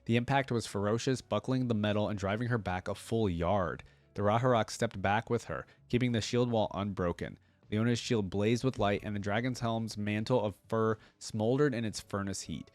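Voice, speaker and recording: A man's voice: fairly low pitch, normal speed, few pauses, dry recording, soft background music. A man hosting a reaction video, reading a story aloud and commenting in a casual, slangy way.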